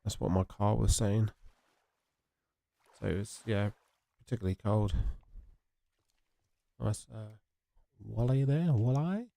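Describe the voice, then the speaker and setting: Gravelly, congested man. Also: on the low side, slow pace, pauses often, dark tone, dry recording. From England. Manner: rambling and chatty, answering viewers. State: congested, sniffly. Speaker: gaming streamer. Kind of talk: casual livestream chat while playing.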